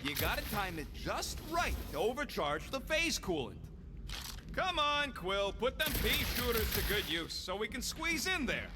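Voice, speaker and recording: A man voicing a cocky, gruff talking raccoon mechanic in a video game, with folksy slang and boastful wisecracks. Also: sits high, sing-song, a little slow, heavy background noise. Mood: impatient, needling.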